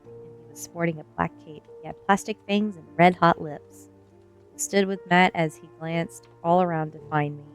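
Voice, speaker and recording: Low-voiced woman reading a story, soft music. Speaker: streamer reading a novel aloud. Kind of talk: ASMR storybook narration, first person.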